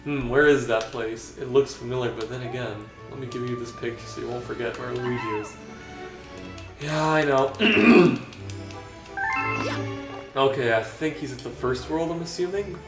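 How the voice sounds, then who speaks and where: A man's voice: medium pitch, distant mic. Dry, hesitant young man in an echoey room. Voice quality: dry monotone voice. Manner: hesitant, halting, full of fillers and restarts. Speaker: vlogger. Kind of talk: rambling chat while playing a video game. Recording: echoey room with game music behind.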